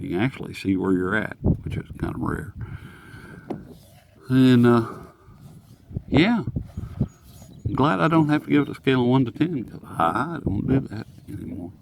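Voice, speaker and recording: A man's voice: mid-range voice, creaky, noisy background. A man chatting casually through a hands-on gadget review in a rambling, folksy, self-mocking way, as a hobbyist reviewer.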